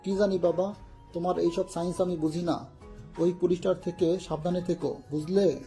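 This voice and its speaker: A man's voice: sits high, steady tone, moderate pace, warm tone, faint music behind. A man playing a wary, sceptical pickpocket sidekick in an audio story, colloquial and deferential yet ready to challenge the boss. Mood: sceptical, cautioning. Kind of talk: dramatised audio story acting.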